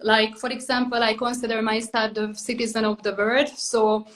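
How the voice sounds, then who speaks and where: A woman in her thirties with a Hungarian accent hosts a relaxed interview. Her voice sits fairly high but barely moves in pitch, and her sentences drift along, padded with "like" and "basically". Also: moderate pace. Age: 30s. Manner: many "like" and "basically" fillers, loose phrasing. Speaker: podcast host. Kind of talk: casual interview chat.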